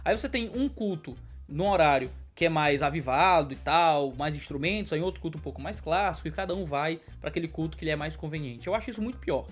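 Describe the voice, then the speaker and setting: A man in his twenties, a pastor, answering viewer questions in a quick, explanatory way from a study lined with bookshelves. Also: on the high side, animated, faint music behind. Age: 20s. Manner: fast, explanatory, gestures with hands.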